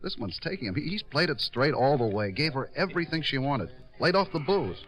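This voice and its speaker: An American actor playing an earnest writer-director in a 1950s radio drama, pleading and insistent with a halting delivery. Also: male voice, mid-range voice, big pitch swings, a bit bright, soft background music. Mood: agitated, defensive. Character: earnest, pleading male writer-director, loyally defending his star.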